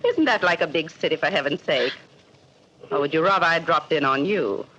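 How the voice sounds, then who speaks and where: A woman's voice: deep voice, a little fast, a bit bright. An actress playing a falsely sweet, chattering neighbor woman with a hidden menace, in 1940s radio acting style. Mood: sugary. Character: sinister gossipy neighbor woman, sugary and menacing. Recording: muffled old radio recording with faint hiss.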